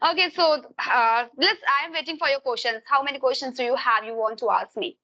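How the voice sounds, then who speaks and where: A woman's voice: sits high, fairly quick, pushed and bright, dull audio. A woman from Uttar Pradesh with an Indian accent, a Hindi speaker, teaching English and chatting at length on a call with frequent grammar slips. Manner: long searching answers with frequent grammar slips. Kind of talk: casual video-call conversation.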